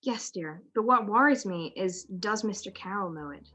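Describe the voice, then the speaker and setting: A woman's voice: mid-range voice, expressive, moderate pace, bright, forceful tone, soft background music. A young woman playing a witty, teasing detective's wife in an amateur 1940s-style radio drama. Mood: worried. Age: young adult.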